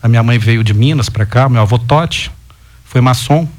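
A man, a guest on a talk show, chatting casually and telling his family story with lively rising emphases. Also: mid-range voice, moderate pace. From Goiás, Brazil. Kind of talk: casual chat.

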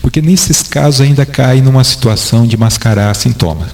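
A man's voice: medium pitch, normal speed, gravelly, darkish tone. A man with a Brazilian Portuguese accent, a doctor answering interview questions in a patient, didactic way. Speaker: doctor guest.

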